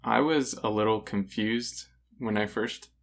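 A man's voice: fairly low pitch, fairly even intonation, a little slow, vocal fry. A man co-hosting a podcast, giving his thoughts in casual, conversational review talk. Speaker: podcast co-host. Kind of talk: casual comic review discussion.

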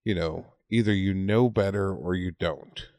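A man in his forties from the United States with a fairly low voice, recorded dry with no room sound. He chats casually as a podcast co-host, often repeating words as he works through an anecdote. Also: a little slow, a little mellow, no room sound.

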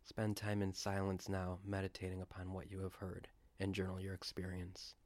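Low, even-voiced man leading guided meditation. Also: moderate pace, no echo. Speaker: guided prayer leader.

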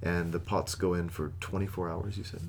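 Brief: male interviewer, very low voice, inquisitive, faint background noise. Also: level tone, a little fast. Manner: inquisitive, frequent murmured agreement. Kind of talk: casual interview. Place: outdoors.

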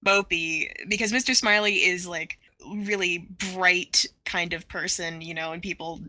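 A woman in a casual podcast discussion, talking in a halting way and often repeating her words as she works out a point. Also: lowish voice, steady tone, pushed and bright. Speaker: podcast panelist. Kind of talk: casual animated-show discussion.

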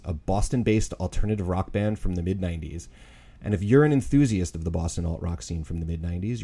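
A man's voice: sits low, warm tone, reverberant. A man hosting a podcast, opening the episode with wry jokes and then interviewing a guest. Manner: upbeat, wry, many fillers. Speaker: podcast host. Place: large conference room with windows.

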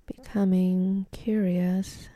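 A woman leading a guided meditation in a soft, calm, half-whispered voice with long pauses between phrases. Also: medium pitch, no room sound.

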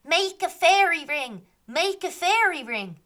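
A woman's voice: mid-range voice, very animated, unhurried, sharp tone, full character voice. A woman voicing a band of small fairies who scold and give orders. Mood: commanding. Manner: scolding and commanding.

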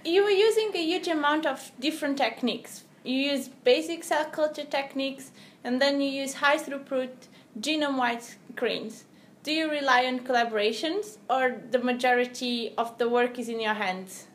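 A woman hosting a podcast, asking interview questions in a polite, measured way. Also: sits high. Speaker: podcast host.

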